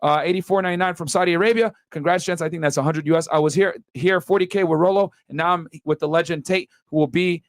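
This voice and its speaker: A male podcast host with a high voice rattles quickly through listener messages. His delivery is casual and slangy, full of bro-style thanks, and the sound is close and dry. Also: fairly even intonation, dry recording. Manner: slangy, bro-heavy shout-outs.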